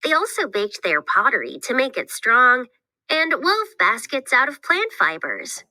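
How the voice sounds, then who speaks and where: Woman voicing a bright, animated, eager young girl explorer. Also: sits high, a little slow, no echo, exaggerated voice, low-quality recording. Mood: enthusiastic, explaining. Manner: animated, explanatory, enthusiastic. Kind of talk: scripted read-aloud dialogue for children.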